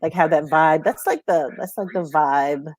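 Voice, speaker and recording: A woman's voice: low voice, normal speed, darkish tone. A woman co-hosting an unscripted music chat in an informal, chatty and affirming way. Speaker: co-host.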